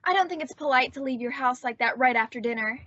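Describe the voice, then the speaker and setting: A woman's voice: high-pitched, quick pace, fairly bright tone, no room sound, highs cut off. A woman playing a dramatic, lovestruck and earnest teenage girl in an old-time radio comedy. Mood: prim, uneasy.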